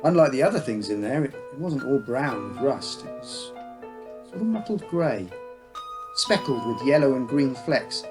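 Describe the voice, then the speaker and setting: A man's voice: medium pitch, animated, moderate pace, over music. A man reading a scripted uncanny story as its storyteller. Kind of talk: scripted narration of an uncanny tale.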